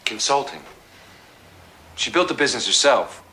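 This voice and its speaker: An actor playing a remorseful, listless fiancé in his late twenties in an audio drama, speaking haltingly. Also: medium pitch, big pitch swings, bright, forceful tone, a little hiss or hum. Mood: downcast. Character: remorseful, listless 29-year-old bachelor fiancé.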